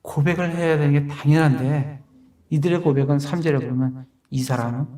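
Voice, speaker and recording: A man's voice: on the high side, fairly quick, dark tone, distant mic. A man preaching a sermon as pastor, explaining a passage verse by verse and asking rhetorical questions.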